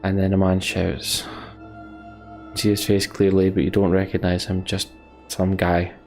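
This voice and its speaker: A man's voice: deep voice, monotone, unhurried, a little mellow, faint music behind. A man hosting a let's play, reading story text aloud dramatically and adding sweary, emotional asides. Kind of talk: dramatic narration with casual asides.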